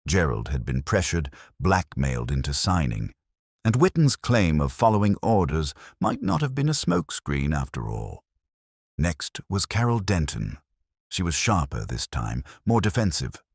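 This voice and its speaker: Male audiobook narrator for hardboiled mystery: husky, dry close sound, dramatic, wry first-person delivery. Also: rumbling low, very animated, moderate pace, no echo. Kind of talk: scripted first-person detective story narration.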